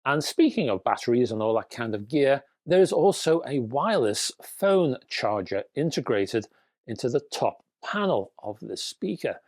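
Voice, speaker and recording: A man's voice: mid-range voice, big pitch swings, moderate pace, darkish tone, no echo. A man presenting product news to viewers in a conversational way, with rhetorical questions and wry asides.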